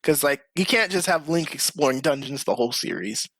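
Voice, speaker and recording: A man's voice: fairly high pitch, brisk, a bit bright, no room sound. A man hosting a casual podcast chat, talking informally and with slang. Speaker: podcast host.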